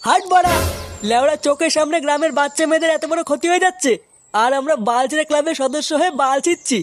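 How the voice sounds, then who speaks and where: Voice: sits high, fast talker, soft background music, natural voice. A performer voicing a hot-tempered, foul-mouthed young male club leader in a comic village cartoon, bossy and scolding. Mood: angry, scolding. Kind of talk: crude comic cartoon dub.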